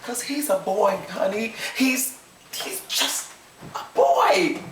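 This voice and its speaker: A man voicing a desperate, guilty Southern wife and mother who begs and pleads in a breathy voice. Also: fairly high pitch, quick pace, crowd noise, voice pushed up, lightly acted. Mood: apologetic. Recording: roomy, distant mic, faint noise.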